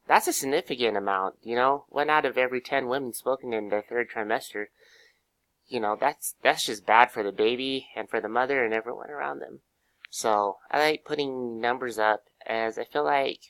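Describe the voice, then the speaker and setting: Hoarse young man, student presenter with a cold, casual and halting, middle pitch, dry sound. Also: a bit bright, no echo, rough recording. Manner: casual, many fillers, pauses. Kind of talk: class presentation.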